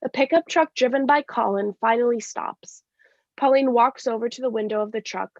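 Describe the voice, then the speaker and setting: A woman's voice: on the high side, moderate pace, dry recording. A woman reading scripted stage directions in a live staged reading of a play. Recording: dull lo-fi sound.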